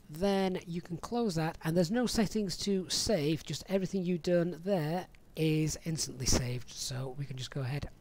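Man giving a casual software walkthrough. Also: on the high side. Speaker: flight simulator streamer giving a tutorial. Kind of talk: casual software tutorial voice-over.

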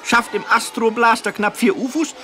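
A man's voice: very high-pitched, fairly even intonation, moderate pace, pushed and bright. An actor playing an earnest, eagerly absurd male children's educator in a radio comedy interview, explaining things with little question tags. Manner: explanatory, with frequent "nicht?" tags.

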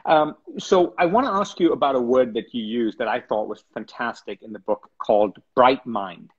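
A man's voice: medium pitch, moderate pace, husky. A middle-aged man from Sri Lanka, hosting an interview over a video call from home, thoughtful and hesitant with frequent restarts. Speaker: interview host. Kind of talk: conversational interview. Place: home room with bookshelves, indoors. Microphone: laptop webcam on a video call. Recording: dull lo-fi video call audio.

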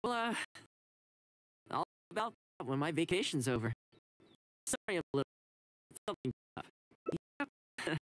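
A man's voice: fairly high pitch, big pitch swings, moderate pace, long pauses, a bit bright. A man voicing a friendly, easygoing young housekeeper in a video game, breezy and affable. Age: young adult. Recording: background music under dialogue.

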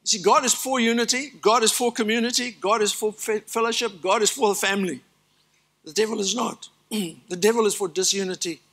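Bright-voiced older pastor preaching. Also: male voice, sits high, fairly even intonation, brisk. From South Africa. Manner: earnest preaching with emphatic lists and asides. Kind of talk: sermon. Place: large church stage.

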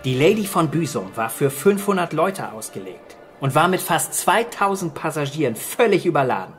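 An actor playing a wry island scientist in a naturalistic radio drama, laconic and factual. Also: male voice, a little high, very animated, normal speed, faint music behind. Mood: grim, explaining. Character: dry, wry male island marine scientist.

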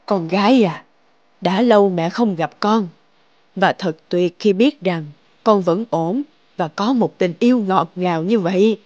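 A woman's voice: medium pitch, a little slow, no echo, close to an everyday voice. Woman voicing affectionate family woman, dull recording. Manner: warm, affectionate. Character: kindly, delighted older sister and doting mother. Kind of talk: animated drama dubbing.

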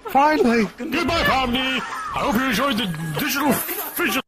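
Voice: high voice, animated, moderate pace, over loud music. An actor playing a manic, spiteful male circus ringmaster in a comedic cartoon dub, theatrical and childishly crude. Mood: gleeful spite.

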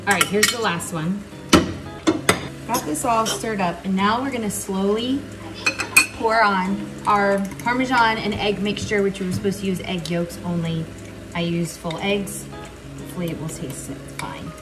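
A woman in her forties talks her viewers through a recipe in a roomy home kitchen, her voice at a middle pitch. She sounds a little off the mic, picked up by a camera across the room. Loud music plays under her voice, and pans clatter now and then. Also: moderate pace. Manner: chatty, talks while working at the stove. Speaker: home cooking vlogger. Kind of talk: casual cooking demo. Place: large home kitchen, indoors. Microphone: far camera on tripod.

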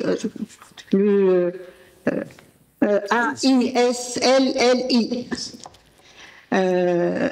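A woman moderator reading a speaker's biography from notes at a desk mic, with pauses and fillers. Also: on the high side, a little mellow, low-quality recording. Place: ornate hall indoors. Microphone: desk gooseneck mic.

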